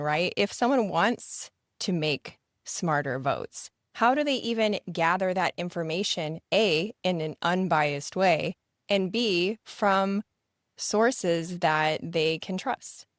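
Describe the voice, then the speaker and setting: Midwestern woman host, low and dry. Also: dry recording. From American Midwest. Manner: measured, careful questions. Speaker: podcast host. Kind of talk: interview hosting.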